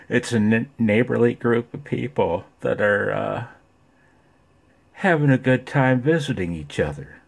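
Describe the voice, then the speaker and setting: A man talking casually and off the cuff as a ham radio vlogger, folksy and rambling, with a gravelly voice. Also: medium pitch.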